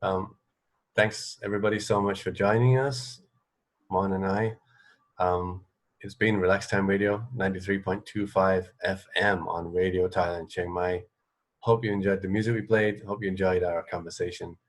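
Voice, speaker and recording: A man with a fairly low voice hosts an informal radio chat. He phrases things loosely and hesitantly, with a modest, self-effacing manner. The delivery is conversational throughout. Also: fairly even intonation, moderate pace. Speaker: radio show host, interviewer. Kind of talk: casual, informal radio interviewing.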